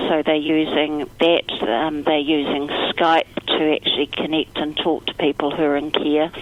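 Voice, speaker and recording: A woman with a croaky voice, talking upbeat and at length as a chief executive in a radio phone interview. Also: low-pitched, muffled recording, slight background noise. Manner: upbeat, talkative, positive. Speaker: retirement trust chief executive.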